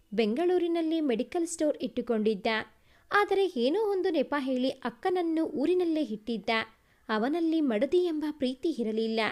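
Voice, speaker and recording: A woman reads a sentimental family story aloud as a storyteller, in a measured, formal way. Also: sits high, a little mellow. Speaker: storyteller, narrator.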